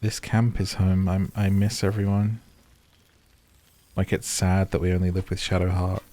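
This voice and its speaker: A man's voice: deep voice, little pitch movement, moderate pace, dark tone, dry recording. A man doing cozy, casual game commentary, musing and rambling as he plays. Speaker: video game streamer.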